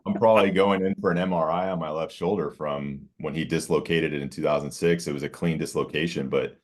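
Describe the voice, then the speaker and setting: Man, early 40s, former quarterback, extremely low voice, laid-back, self-deprecating. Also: steady tone, brisk. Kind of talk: casual reminiscing chat.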